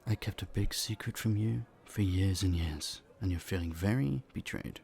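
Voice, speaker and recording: Low, creaky gentlemanly young prince acted by a man. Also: moderate pace. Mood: teasing, warm. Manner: playful, polite, slightly flustered, hesitant stammers. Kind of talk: romantic audio roleplay, speaking to a listener. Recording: faint noise, background ambience.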